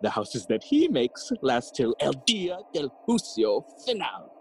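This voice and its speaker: An actor playing a jolly, cheeky gravedigger who riddles and teases in a theatrical bilingual Spanish-English Shakespeare audio play. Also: male voice, medium pitch, very animated, unhurried, slight background noise. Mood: boisterous, mocking. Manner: teasing, sighing and chuckling, theatrical.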